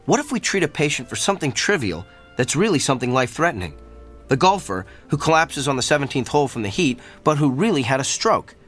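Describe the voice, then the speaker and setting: An actor playing a blunt, cerebral doctor in a radio drama, with a precise, pedantic, clinical manner. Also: male voice, medium pitch, very animated, fast talker, fairly bright tone, faint music behind. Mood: defensive.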